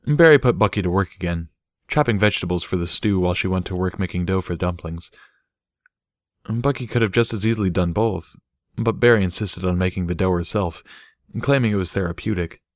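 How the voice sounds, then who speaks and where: A man's voice: low voice, a little fast, dry recording, studio quality. A man reading scripted fiction as a storybook narrator.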